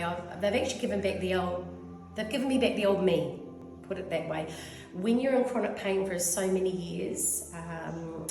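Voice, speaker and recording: Rambling, effusive New Zealand woman in her fifties. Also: fairly low pitch. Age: 50s. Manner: rambling, effusive, goes off on tangents. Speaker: clinic client giving a testimonial. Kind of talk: casual testimonial interview. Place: clinic room. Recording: very echoey room.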